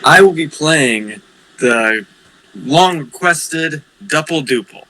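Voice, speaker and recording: A man's voice: fairly high pitch, expressive, slow, crowd noise, rough recording. A man taking part in a tabletop game as a player, chatting casually and giving his opinions a little hesitantly. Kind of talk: casual chat.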